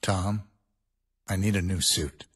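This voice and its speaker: Game role: menacing male assassin, deep, measured, clipped and emotionless. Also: husky, dry recording, studio quality, exaggerated voice. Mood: cold, controlled. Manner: terse, flat, controlled. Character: cold, menacing professional hitman. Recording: game cutscene with music.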